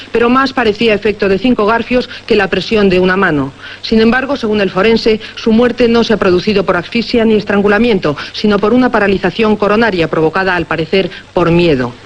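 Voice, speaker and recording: A man playing a formal, measured radio newsreader in a radio drama, reading a grim bulletin. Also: high-pitched, highs cut off, natural voice. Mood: formal, grave.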